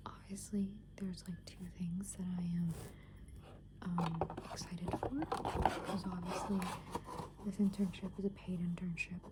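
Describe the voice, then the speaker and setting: A woman in her twenties whispering casual, meandering ASMR thoughts in a hushed, unvoiced voice. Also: lowish voice, level tone, measured pace. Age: 20s. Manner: casual, meandering, thinking aloud. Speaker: ASMR creator. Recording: clearly noisy background hiss and ambience.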